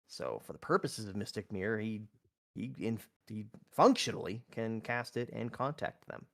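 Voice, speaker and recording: A man's voice: fairly low pitch, normal speed, creaky, a bit bright. A man playing a tabletop roleplaying game, casually thinking aloud in a hesitant way. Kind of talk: casual, improvised game play.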